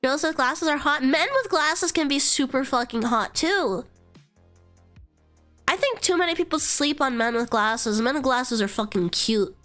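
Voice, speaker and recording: A woman's voice: high-pitched, lively intonation, measured pace, fairly bright tone, faint music behind. A young woman streamer with a nasal voice and a stuffy cold, rambling casually, swearing freely and trailing into fillers. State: congested from a cold.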